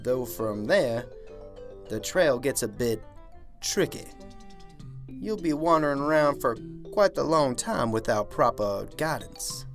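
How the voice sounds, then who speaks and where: Radio-play reverend, voiced by a man: folksy, sly and genial, unhurried drawl of words, swooping pitch. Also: expressive, soft background music. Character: genial, sly male small-town preacher. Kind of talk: amateur radio drama acting.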